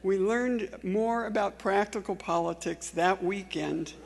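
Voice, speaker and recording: A woman's voice: mid-range voice, a little slow, vocal fry. Older female memorial speaker, mid-range voice, telling personal stories as a tribute, long hall reverb. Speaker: memorial speaker, former student. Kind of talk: prepared eulogy with personal anecdotes. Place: large music hall, at a memorial event.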